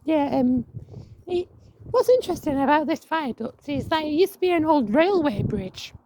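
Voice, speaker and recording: A woman with a croaky voice walking outdoors, chatting casually to her viewers and reading signs aloud with many restarts. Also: high voice, lively intonation, noisy background. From England. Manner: rambling, restarts words, reads signs aloud haltingly. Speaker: vlogger. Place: outdoors on a viaduct.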